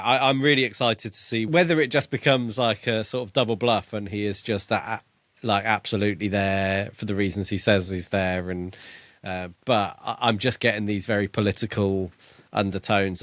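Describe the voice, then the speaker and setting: A man co-hosting a podcast, casually discussing a book in a tentative, halting way as he thinks aloud. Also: fairly low pitch, steady tone, fairly bright tone, no echo. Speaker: podcast co-host.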